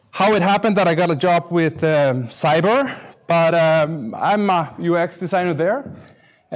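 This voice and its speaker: A middle-aged man with an Icelandic accent speaks in a fairly high voice for a man. He presents informally as a conference speaker, hesitating often, with a dry, self-deprecating humour. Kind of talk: informal conference talk with slides.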